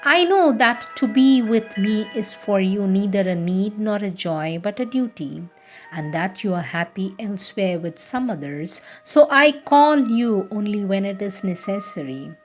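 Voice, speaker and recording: A woman reading spiritual letters aloud in a slow, measured, solemn manner. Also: mid-range voice, expressive, faint music behind. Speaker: reader.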